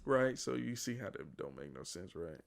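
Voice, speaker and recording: Gravelly young american guy, pitch jumps around a lot, blunt sweary teasing podcast banter. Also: sing-song, normal speed. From near Chicago, United States. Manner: blunt, slangy, profane, teasing. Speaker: podcast co-host. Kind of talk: casual chat among friends.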